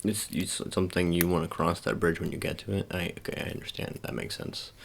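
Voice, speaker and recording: A man doing soft-spoken ASMR roleplay as a shady sports agent, talking in a halting, casual, coaxing way and repeating his phrases. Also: sits low, fairly even intonation, vocal fry, a little hiss or hum.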